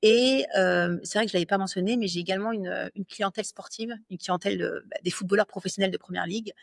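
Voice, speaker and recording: A confident French woman in her thirties speaks as an interview guest, explaining her work at a steady medium pitch. She talks fluently but often pauses on fillers and restarts her phrases. The sound is close and dry. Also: creaky, no echo. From France. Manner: fluent, self-assured, many fillers and self-corrections. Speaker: business lawyer guest. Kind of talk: interview conversation.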